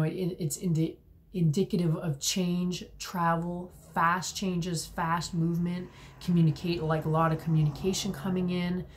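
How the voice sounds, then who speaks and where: Low, mellow-voiced woman reading tarot. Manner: gentle, unhurried, many fillers and restarts. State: slightly hoarse from a cold. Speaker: tarot reader. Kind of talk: intuitive tarot reading to camera. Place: small room at home, indoors.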